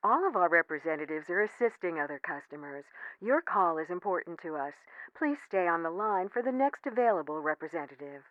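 Relentlessly polite automated phone menu, dull line. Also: female voice, on the low side, lively intonation, fairly bright tone. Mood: polite, unruffled. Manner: measured, chirpy, formulaic customer-service delivery. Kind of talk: scripted automated phone prompts in audio comedy. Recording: band-limited telephone line sound.

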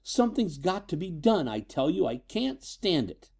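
A man voicing a frightened, high-strung but defiant young woman in a storybook reading, nervous and emotional. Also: sing-song, normal speed, mellow, slightly rough. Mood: angry, defiant.

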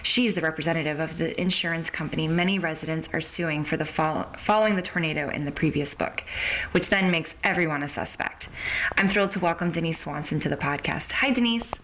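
A woman's voice: deep voice, fairly quick, a bit bright, a little hiss or hum, rough recording. A woman hosting a podcast and interviewing a guest.

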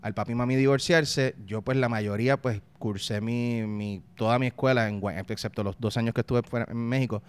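Puerto Rican man in his thirties, interview guest; resonant, smooth voice, reflective, trips over words as he thinks. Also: mid-range voice, fairly even intonation, a little fast, hardly any pauses. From Puerto Rico. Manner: reflective, frequent restarts and repeated words; uses local slang. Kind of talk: casual conversational interview.

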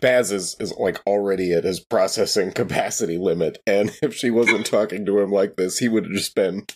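A man's voice: low-pitched, moderate pace, darkish tone, a little hiss or hum. A man playing a tabletop roleplaying game, chatting casually about his character with an amused tone. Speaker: player.

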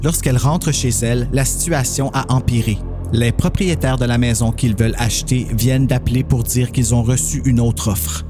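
A man's voice: medium pitch, fairly even intonation, moderate pace, over music. A man narrating a scripted horror story as a podcast host.

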